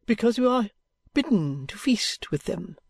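A man voicing an oily, pompous male preacher who asks slow, drawn-out rhetorical questions. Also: high-pitched, big pitch swings, vocal fry, breathy edge, no echo, voice pushed up, heavily acted. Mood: unctuous.

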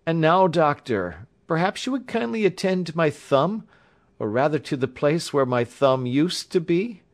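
A man voicing a polite, agitated young engineer in his twenties, breathless and excitable, in an audiobook story. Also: a little high, unhurried, pitched above the performer's own voice. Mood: weary, shaken. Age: mid-twenties. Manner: courteous, excitable, shaky, breathless. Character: pale, agitated young male engineer, wounded, hysterical, polite.

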